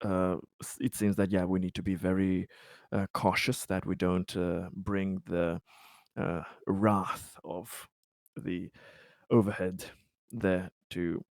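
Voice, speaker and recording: Low-voiced man speaking haltingly, dry sound. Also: little pitch movement, no echo. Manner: halting, deliberate, searching for words. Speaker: tabletop game player. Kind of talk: role-play and game discussion.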